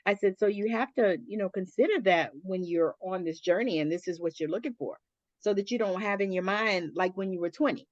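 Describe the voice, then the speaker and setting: A woman's voice: medium pitch, fairly quick, no room sound, lo-fi sound. A middle-aged woman hosting a casual chat, blunt and colloquial, peppering her talk with "you know". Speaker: interview host.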